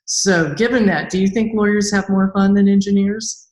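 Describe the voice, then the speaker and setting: A woman's voice: mid-range voice, fairly even intonation, normal speed, darkish tone. A woman hosting a podcast interview in a casual, chatty style. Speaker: podcast host, author and engineer. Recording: roomy, echoey sound.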